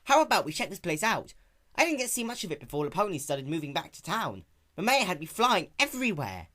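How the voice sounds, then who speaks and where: A man as a cocky young pony girl, pitched high, boastful, slangy, swooping storybook cartoon delivery. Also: huge pitch swings, quick pace, bright, forceful tone, exaggerated voice. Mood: playful bravado. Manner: boastful, casual slangy talk. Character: brash, cocky young female flying pegasus pony.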